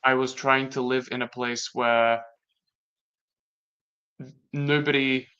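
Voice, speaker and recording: Latvian man talking in halting reflection. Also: medium pitch, level tone, bright, forceful tone, clean tone. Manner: reflective, halting, with many restarts. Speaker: guest. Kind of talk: casual conversation. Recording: dull lo-fi sound.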